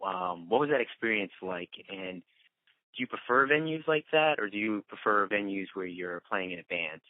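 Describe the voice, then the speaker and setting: A man's voice: on the low side, animated, moderate pace, dry recording. A man conducting a casual interview over the phone, asking questions in an informal, chatty way. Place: on a phone call. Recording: narrow, dry phone-call audio.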